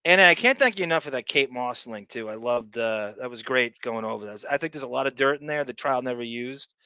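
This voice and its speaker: A man's voice: medium pitch, fairly quick, bright, forceful tone, clean tone, dry recording. A man hosting a podcast in casual chat, rambling and often searching for his words. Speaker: podcast host.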